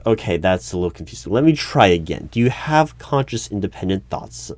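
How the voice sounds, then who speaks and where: A man playing a methodical, puzzled hiring manager in a one-sided interview roleplay, halting as he asks careful clarifying questions. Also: low voice, big pitch swings, a little mellow, a little hiss or hum. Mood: careful, confused. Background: computer keyboard typing and clicks.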